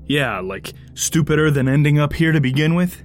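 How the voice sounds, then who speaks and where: A man's voice: on the high side, animated, unhurried, soft background music. An actor playing a bitter, sarcastic, foul-mouthed henchman of a ruthless boss in an audio drama. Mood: wry, sarcastic. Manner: sardonic, profane.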